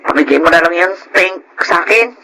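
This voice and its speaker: A woman with a Filipino accent playing a sly, pushy housemaid who flatters and wheedles, in radio drama style. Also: fairly low pitch, moderate pace, slight background noise, poor audio.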